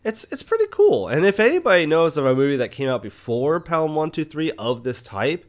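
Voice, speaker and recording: A man's voice: on the high side, sing-song, fairly quick. A man hosting a movie podcast in a casual, enthusiastic, conversational way, often checking in with the listener. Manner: conversational, enthusiastic, punctuated with "right?" and "you know". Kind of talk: casual film review chat.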